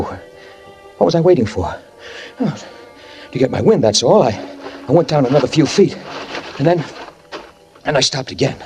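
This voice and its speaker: An actor playing a guilt-ridden man who fled a fatal crash, narrating in the first person in a 1940s radio drama with tense, halting speech that stammers and repeats. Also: medium pitch, darkish tone, natural voice. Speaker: narrator and lead character. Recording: old radio recording with music behind.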